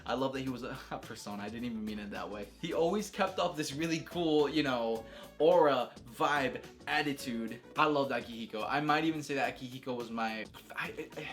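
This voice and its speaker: A man's voice: mid-range voice, fairly bright tone. A man giving casual, unscripted opinions as a video creator, talking in an enthusiastic, slangy way and repeating phrases for emphasis. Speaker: video creator reviewing a game.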